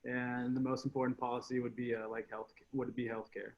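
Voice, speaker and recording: A man's voice: medium pitch, flat delivery, a little fast. A man in his twenties on a video call, talking casually with lots of fillers. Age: 20s. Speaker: focus group participant. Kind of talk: casual discussion. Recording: muffled video-call audio.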